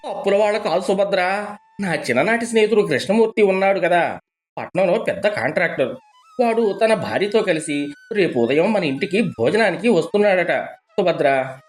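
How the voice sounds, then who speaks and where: A man's voice: high-pitched, expressive, normal speed, faint music behind. A man playing a boastful, status-anxious middle-aged village father in a cartoon moral story, pompous and blustering. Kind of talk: animated moral story dialogue.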